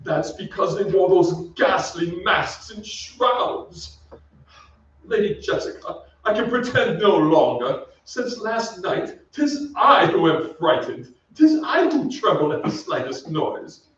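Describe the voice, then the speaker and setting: A man's voice: high voice, sing-song, slow, a bit bright, slight background noise, exaggerated voice. A man playing a pompous, melancholy centuries-old aristocratic ghost with archaic, theatrical diction in an old-time radio drama. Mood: sorrowful. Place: on stage in a theatre. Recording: distant, echoey stage recording, muffled.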